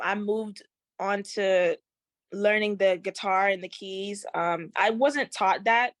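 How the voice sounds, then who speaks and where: A young woman from Haiti chats as a guest in an interview, loose and slangy, with plenty of likes and ums. Her mid-pitched voice comes through close and dry, with no room sound around it. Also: no echo. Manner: relaxed, slangy, with frequent fillers. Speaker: singer, guest. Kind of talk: casual interview chat.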